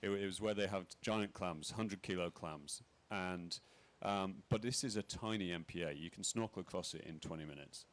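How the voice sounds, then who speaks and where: A man speaking on a conference panel, halting with many fillers and restarts. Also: sits low. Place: conference pavilion.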